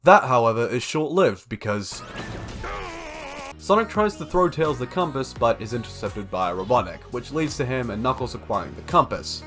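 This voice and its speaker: A man's voice: mid-range voice, animated, normal speed, fairly bright tone, soft background music. A young man reading a scripted video essay as an analytical narrator.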